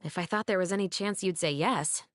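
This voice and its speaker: An actress playing a brisk, keen young female patrol officer in a video game, speaking in an articulate, confident, analytical way. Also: lowish voice, dry recording, clean studio sound, lightly acted. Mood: impressed. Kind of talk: scripted video game voice acting.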